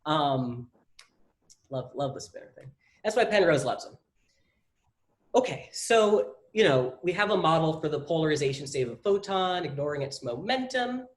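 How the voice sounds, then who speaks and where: A man's voice: a little high, lively intonation, normal speed. A young man with a South Jersey accent, casually explaining physics at a meetup, rambling with many fillers. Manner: rambling, heavy use of "like" fillers. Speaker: meetup presenter.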